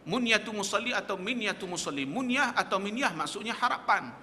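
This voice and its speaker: Forceful high-voiced preacher. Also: male voice, expressive, brisk, long reverb tail, a little hiss or hum. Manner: emphatic, rhetorical questions, quotes Arabic texts. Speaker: religious teacher. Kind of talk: mosque lecture. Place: prayer hall of a small mosque.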